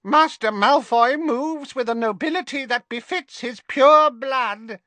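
A man voicing a surly, servile old male house elf with a croaking voice. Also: very high-pitched, unhurried, sharp tone, no echo, pitched above the performer's own voice, heavily acted. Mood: sullen.

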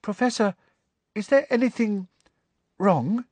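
A man doing a hushed, timid teenage girl. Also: sits high, animated, takes it slowly, dry recording, pitched above the performer's own voice, full character voice.

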